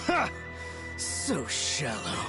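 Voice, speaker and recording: A man's voice: medium pitch, huge pitch swings, very slow, over loud music, full character voice. A man voicing a crazed, cocky possessed swordsman in a video game, taunting and erratic. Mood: gleeful taunting.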